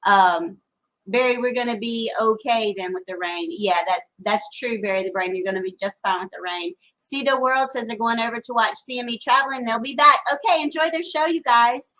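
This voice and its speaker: A woman hosting a casual live-stream chat and reading viewer comments. Her voice sits fairly high, and she rambles along with plenty of fillers. She is recorded on a phone camera outdoors on a porch during a storm. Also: fairly quick, sharp tone, poor audio. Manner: chatty, rambling with many fillers. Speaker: live show co-host.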